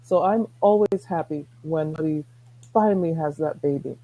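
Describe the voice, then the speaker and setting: A middle-aged woman with a soft-spoken voice, talking earnestly as a guest on a video call, rambling with restarts. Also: sits low, moderate pace, rough recording. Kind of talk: casual chat.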